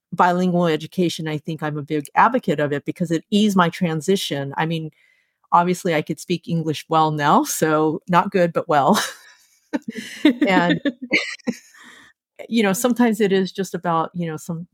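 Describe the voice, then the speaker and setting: A podcast host whose first language was Japanese, reflecting calmly with frequent "you know" fillers. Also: medium pitch, dry recording, studio quality. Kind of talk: casual podcast conversation.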